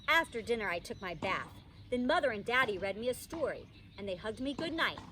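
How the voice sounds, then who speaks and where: A woman teacher reading a children's storybook aloud in an expressive, childlike first-person narration. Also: fairly high pitch, fairly quick. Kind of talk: animated storybook read-aloud. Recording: distant microphone, faint background noise.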